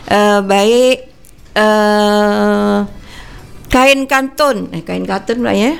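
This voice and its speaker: A woman co-hosting a radio chat, trading rhymed verses with her co-host, laughing often and pausing on fillers. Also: mid-range voice, vocal fry, clear, resonant tone.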